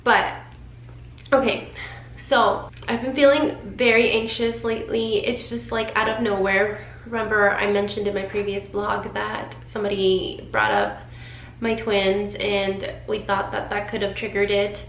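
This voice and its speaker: A woman's voice: medium pitch, measured pace, a bit bright. Woman in her thirties, candid vlog talk. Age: 30s. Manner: candid, rambling, emotional. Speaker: vlogger. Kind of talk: personal vlog chat. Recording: roomy sound with faint noise.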